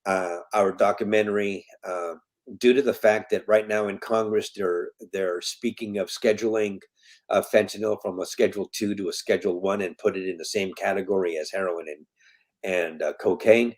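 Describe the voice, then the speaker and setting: An earnest man in his fifties from Colombia speaks as an interview guest in a slightly low voice. He talks in a casual, conversational way, leans hard on the points that matter to him, and says them more than once. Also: fairly even intonation, moderate pace, a little mellow. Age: 50s. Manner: earnest, emphatic, repeats key points. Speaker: guest, foundation president.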